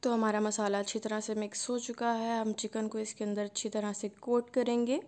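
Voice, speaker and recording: A woman, hosting a cooking channel and calmly narrating a recipe step by step. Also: fairly high pitch, a little fast. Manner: calm step-by-step instruction.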